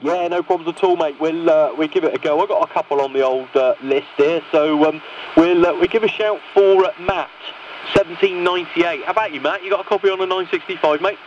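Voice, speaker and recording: A man's voice: fairly high pitch, a little fast. A man chatting casually as an amateur operator over CB radio. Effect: CB radio transmission. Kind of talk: casual radio net chat. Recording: noisy, muffled CB radio signal.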